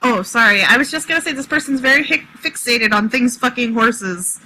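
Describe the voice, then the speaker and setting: High, bright-voiced woman over background noise. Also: lively intonation, a little fast, rough recording. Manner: insistent, bantering. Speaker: co-host. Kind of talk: casual comedic banter.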